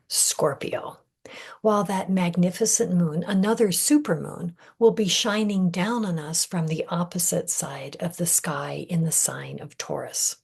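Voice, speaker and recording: A woman leading a guided meditation in a gentle, measured way, breathy and husky, often trailing off into a whisper with deliberate pauses. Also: lowish voice. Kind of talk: guided meditation, soothing scripted narration.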